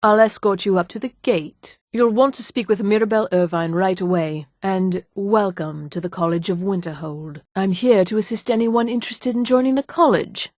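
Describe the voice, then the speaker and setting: A haughty high elf woman from a fantasy video game, played by an actress. Her voice sits at a middle pitch, with formal, measured diction and an imperious bearing. The recording is dry and free of room sound. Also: a little mellow, no echo, close to an everyday voice. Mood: formal, aloof. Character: haughty female high elf mage and guard. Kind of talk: video game character dialogue.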